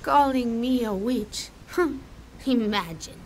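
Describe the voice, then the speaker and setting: A woman playing a proud, outspoken village herbalist in video game dialogue, forthright and dignified, sharp but caring. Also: on the high side, slow pace, a little hiss or hum. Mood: indignant.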